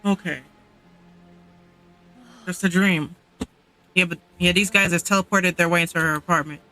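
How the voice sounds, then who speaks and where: A man's voice: high voice, steady tone, normal speed, pushed and bright, soft background music, rough recording. A man giving casual, slangy live commentary as he streams a game, reacting to what happens in it.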